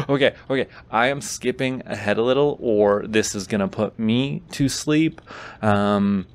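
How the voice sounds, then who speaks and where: A man in a boyfriend audio roleplay, reading a bedtime story aloud with goofy, teasing casual asides. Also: medium pitch.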